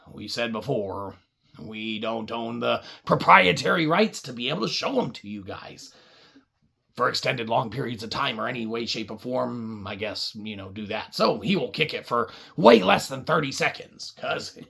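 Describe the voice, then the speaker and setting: A woman storyteller with a gravelly, raspy voice improvises a puppet show for kids. She takes her time, rambling into wry asides, and her pitch moves around a lot. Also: fairly bright tone. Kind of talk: improvised comic children's story narration.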